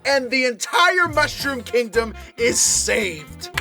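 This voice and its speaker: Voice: medium pitch, sing-song, slow pace, pushed and bright. A video host giving a casual, comedic movie review in informal slang with exaggerated disbelief. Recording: music behind the voice.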